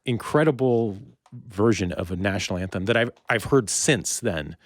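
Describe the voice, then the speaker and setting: A middle-aged man hosting a casual podcast interview, speaking haltingly as he searches for words. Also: lowish voice, dry recording. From Windsor-Detroit area. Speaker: podcast host interviewing a guest.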